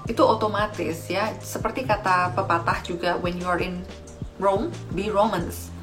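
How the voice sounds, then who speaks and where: An Indonesian woman hosts a casual vlog in a mid-pitched voice. She speaks straight to her viewers and drops in English phrases. Music plays clearly behind her. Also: fairly even intonation, normal speed. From Malang, East Java, Indonesia. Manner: addresses viewers directly, mixes in English phrases. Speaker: vlogger and host. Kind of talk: casual vlog talk to camera.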